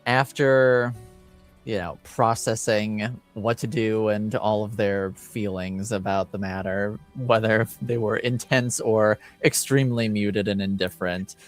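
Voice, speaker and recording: Male game master, deep-leaning and unhurried, pausing often through a casual tabletop recap. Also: steady tone, soft background music. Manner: halting, pausing often between phrases.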